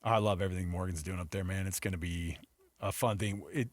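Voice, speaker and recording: A man's voice: low voice, steady tone, fast talker, no echo. A middle-aged man hosting casual sports talk radio, telling stories in a jokey way and acting out mock quotes.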